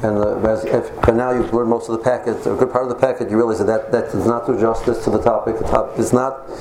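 A man who has lost his voice gives an informal Torah class to an audience over a speaker system, talking low-key and halting, with many restarts. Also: little pitch movement. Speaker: rabbi giving a Torah lecture. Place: hall with an audience. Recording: echoey hall, loud background noise.

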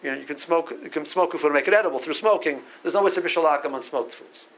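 A man's voice: on the high side, fast talker, a bit bright, rough recording. A man from Barbados giving a halachic lecture, often repeating words as he works through sources and reading out Hebrew legal terms. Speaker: rabbi teaching a Talmud class.

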